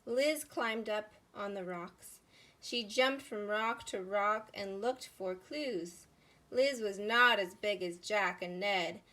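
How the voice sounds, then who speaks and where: A woman teacher reading a children's story aloud and explaining it in a deliberate way for first graders. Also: medium pitch, sharp tone. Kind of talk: read-aloud story narration and lesson instruction.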